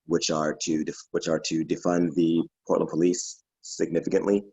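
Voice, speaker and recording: A man's voice: a bass voice, level tone, normal speed, a little mellow, slightly rough, no room sound, highs cut off. A man speaking as a protest organizer on an online panel, matter-of-fact and listing demands with some restarts. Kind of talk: panel conversation. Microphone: video call.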